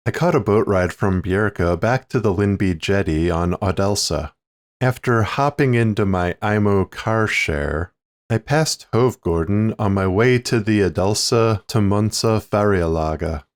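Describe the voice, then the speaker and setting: A fairly low-pitched American man read by a male storyteller recounts a journey from a short story. He is methodical and tediously thorough, setting out each place name with care. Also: unhurried, close to an everyday voice. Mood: methodical, plodding. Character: American man reciting a tediously detailed travel account. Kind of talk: read-aloud first-person fiction.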